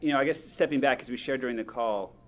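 A man speaking as a company executive on an earnings call, in measured corporate phrasing. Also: mid-range voice. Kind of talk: earnings call answer, prepared business talk. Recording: dull lo-fi conference call audio.

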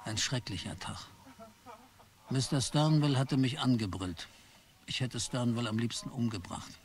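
A worn-down clerk tells his story in a radio play in a tired, bitter, brooding voice. His pitch sits in an ordinary middle range. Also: husky. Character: weary, put-upon office clerk Charlie. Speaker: narrator and main character. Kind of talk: first-person radio play narration.

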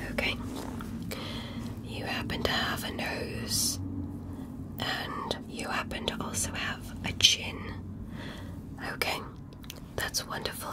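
A woman playing a polite, curious alien doctor in an ASMR medical roleplay, speaking gently and carefully in a breathy whisper with formal, reassuring phrasing. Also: natural voice. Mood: soothing, attentive. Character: polite, curious female alien doctor examining a human patient.

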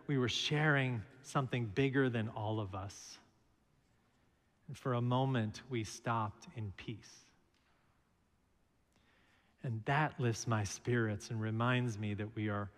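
Slow-preaching minister in a reverberant church. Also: male voice, medium pitch, a bit bright. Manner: slow, measured preaching with storytelling asides. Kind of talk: sermon. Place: large church sanctuary, at a pulpit. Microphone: pulpit microphone.

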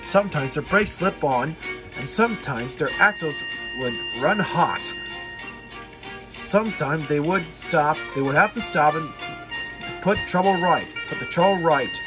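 A high-pitched man reads a storybook aloud at a slow pace. His delivery swings widely in pitch and is halting, with words repeated and corrected as he goes. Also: lively intonation, music in the background. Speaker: storybook reader. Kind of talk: read-aloud children's story narration.

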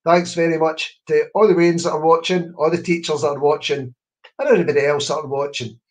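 A man's voice: on the high side, steady tone, fairly quick. A Scottish man in his fifties, a children's poet, chatting encouragingly to schoolchildren over a video call from home and dropping in Scots words. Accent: Scottish, Scots-speaking. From Scotland. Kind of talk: online workshop talk to schoolchildren. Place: room at home. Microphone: webcam on a video call.

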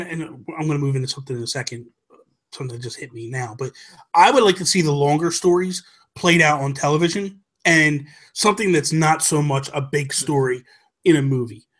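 A man's voice: on the high side, a bit bright. A middle-aged man chatting casually as a podcast co-host, making his points emphatically and repeating them for stress. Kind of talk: casual opinionated chat. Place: small home room. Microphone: desk microphone, headset headphones.

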